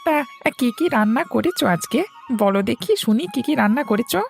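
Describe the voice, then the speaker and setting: Woman playing a doting cartoon husband, gravelly creak, very lively pitch swings, chatty, coaxing, cheerful. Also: fairly quick, soft background music. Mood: eager, chatty. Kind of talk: animated cartoon story dialogue.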